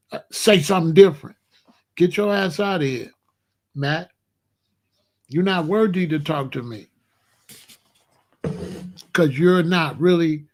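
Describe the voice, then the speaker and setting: A man's voice: on the high side, darkish tone. Blunt confrontational man ranting to his chat. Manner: blunt, repetitive, confrontational, calls out a chatter. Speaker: host of a live commentary stream. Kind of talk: unscripted live-stream commentary, talking to chat.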